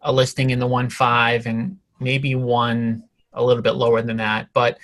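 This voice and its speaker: A man with a medium-pitched voice leads a casual business conference call. He is direct and probing, coaching through short questions and plain comments. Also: monotone, moderate pace, fairly bright tone. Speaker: call leader, real estate coach.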